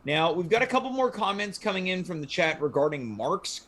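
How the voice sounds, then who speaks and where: Higher-voiced man hosting a casual panel. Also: sing-song, a little fast, heavy background noise. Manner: steers guests with questions. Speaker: host. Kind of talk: casual panel chat, reading viewer comments.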